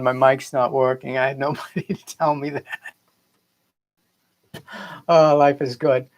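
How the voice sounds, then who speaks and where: Male spiritual coach, middle-aged or older, Boston accent, medium pitch, earnest and rambling livestream delivery. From Boston area, United States. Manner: earnest, rambling, repeats phrases for emphasis. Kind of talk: casual motivational livestream talk.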